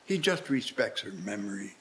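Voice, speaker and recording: An actor playing an older, miserly uncle in a live radio-play style reading, halting and questioning as he looks on bewildered. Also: fairly low pitch, sing-song, fairly bright tone. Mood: subdued, wistful.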